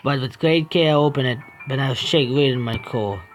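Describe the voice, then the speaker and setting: A man's voice: mid-range voice, soft background music. Jittery man reading a horror game aloud. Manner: halting, stumbling reading, scared outbursts at the game. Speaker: gaming streamer. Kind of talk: reading a horror visual novel aloud with reactions.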